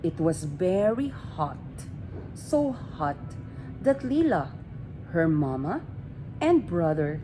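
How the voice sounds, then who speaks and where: A woman reading a children's story aloud in an expressive, deliberate way, drawing out her words. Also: on the low side. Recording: clearly noisy amateur recording.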